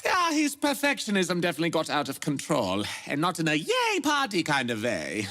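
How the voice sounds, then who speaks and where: An actor playing a wry, expressive game character explaining a mission's background. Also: male voice, a little high, brisk, heavily acted. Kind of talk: video game dialogue.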